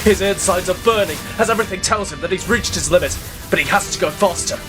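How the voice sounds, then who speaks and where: A man's voice: high voice, fast talker, music in the background. A man delivering dramatic scripted narration as the storyteller of an audio drama.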